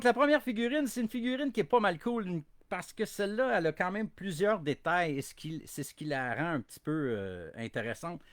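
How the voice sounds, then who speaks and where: A man's voice: medium pitch, no room sound. French-Canadian man chatting casually. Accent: Quebec French. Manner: rambling, chatty, self-deprecating. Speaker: podcast host. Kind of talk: casual bilingual live-stream chat.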